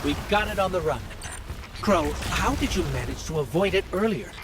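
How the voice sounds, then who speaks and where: High, eager robot helper. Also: male voice, lively intonation, slow pace, noisy background. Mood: urgent. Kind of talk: game dialogue acting.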